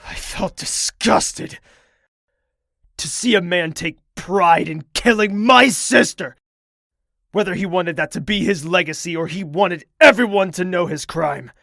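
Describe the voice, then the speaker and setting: A man playing a cold, vengeful lord in an audio drama, narrating in a measured, solemn manner. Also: sits high, creaky, pushed and bright, no echo, studio quality, exaggerated voice. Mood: disgusted, bitter. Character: cold, vengeful lord commanding mercenaries, grieving his sister.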